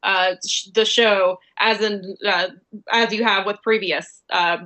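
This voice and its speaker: A woman reporter asking an unscripted question on a press call, halting and correcting herself as she goes. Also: mid-range voice, steady tone, a crowd in the background. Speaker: wrestling reporter.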